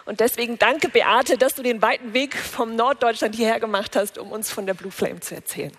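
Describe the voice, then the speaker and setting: A woman's voice: fairly high pitch, fairly quick, noisy background. A woman from northern Germany moderating a conference from the stage in an informal, upbeat way. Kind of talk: stage introduction. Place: congress stage.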